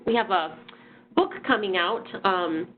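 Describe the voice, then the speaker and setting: A woman's voice: mid-range voice, unhurried, a little hiss or hum. A woman hosting and presenting a webinar, a bit nasal from a sinus infection, talking in a friendly way with many ums and restarts. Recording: phone-like audio.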